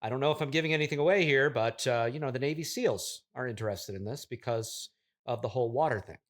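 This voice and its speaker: A man playing a pompous, earnest tech startup founder who explains haltingly and chokes up easily, in a deadpan improvised mock interview. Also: mid-range voice, close to an everyday voice. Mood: proud, explaining. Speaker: podcast guest playing a character.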